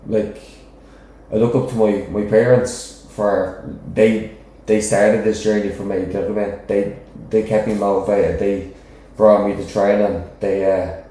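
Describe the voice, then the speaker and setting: A man's voice: lowish voice, steady tone, measured pace, warm tone, slight background noise. A young man with a Dublin accent, a professional boxer chatting casually as a guest, rambling and often asking "do you know what I mean". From Ireland. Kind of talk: casual interview chat. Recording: very echoey room.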